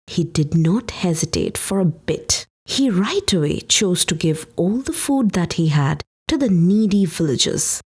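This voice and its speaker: A woman narrating a folktale for a podcast, with expressive, dramatic and deliberate phrasing. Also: lowish voice, slightly rough. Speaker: podcast storyteller. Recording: music behind the voice. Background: background music.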